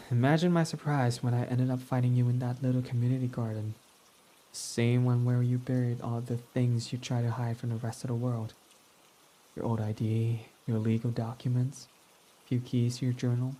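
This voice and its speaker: A guarded, foul-mouthed villain who is secretly devoted, as a young man plays him for an ASMR roleplay. His voice sits warm and mellow and close to the microphone, talking in an intimate, confessional way. A soft patter of rain runs faintly behind him. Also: mid-range voice, a little hiss or hum, lightly acted, poor audio. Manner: intimate and confessional, swearing freely. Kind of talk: ASMR roleplay audio drama.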